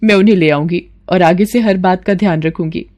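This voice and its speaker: A woman's voice: fairly low pitch, brisk, darkish tone, close to an everyday voice. Earnest young wife voiced by a woman. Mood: grateful. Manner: earnest, polite. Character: worried young married woman, grateful friend and patient.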